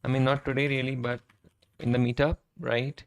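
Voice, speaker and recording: A man with a hoarse, worn-down voice, chatting casually on a live coding stream, often tagging his sentences with "right?" and clearing his throat. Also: medium pitch, level tone, moderate pace. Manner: conversational, frequent "right?" tag questions, throat clearing. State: hoarse, voice almost gone. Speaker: streamer and software engineer. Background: keyboard typing and clicks.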